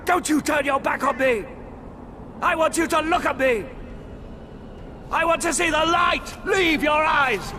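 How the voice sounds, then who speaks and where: A man voicing a cruel, commanding dark lord wizard in a video game, shouting with imperious force. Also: extremely high pitch, a little slow, pauses often, a little hiss or hum, exaggerated voice. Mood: furious, menacing. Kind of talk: villainous video game acting. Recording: loud music over the voice.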